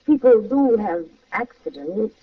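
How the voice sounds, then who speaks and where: Scheming radio femme fatale, muffled. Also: female voice, mid-range voice, lively intonation, measured pace. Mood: coy, scheming. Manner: coy, theatrical. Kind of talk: old-time radio crime acting. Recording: muffled old radio recording, distant mic.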